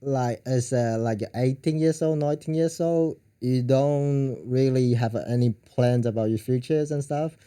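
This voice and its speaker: A man in his thirties from China, speaking English with a Chinese accent, halting and searching for words as a podcast guest. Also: medium pitch, mellow, clear, resonant tone. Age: 30s.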